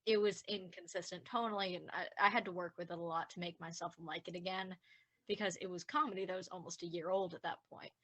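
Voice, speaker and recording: Bright-voiced, talkative woman. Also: lowish voice, fairly even intonation, fast. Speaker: podcast scriptwriter. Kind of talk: casual behind-the-scenes commentary.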